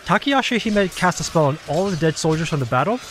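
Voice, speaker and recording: A man voicing an ancient, dry and sceptical mythical creature in an audio drama, husky and theatrical, explaining things in a measured way. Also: on the high side, lively intonation, noisy background, close to an everyday voice. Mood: knowing, explanatory.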